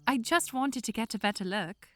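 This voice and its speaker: A woman's voice: a little high, normal speed, a bit bright, faint music behind, close to an everyday voice. A woman playing an eager young princess. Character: young princess, curious, eager, playfully haughty. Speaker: voice actor. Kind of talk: audio drama acting.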